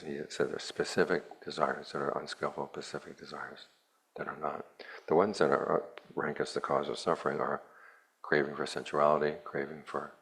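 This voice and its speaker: A man, a Buddhist monk, giving a plainspoken, measured dharma talk to a retreat group with a dry, wry touch. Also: rumbling low, gravelly, fairly bright tone, husky.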